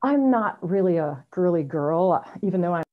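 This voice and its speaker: A woman's voice: fairly low pitch, expressive, normal speed. A middle-aged woman reading a personal story aloud over a video call, with a wry, self-deprecating manner. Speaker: amateur storyteller at a story slam. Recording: dull, lo-fi video call audio.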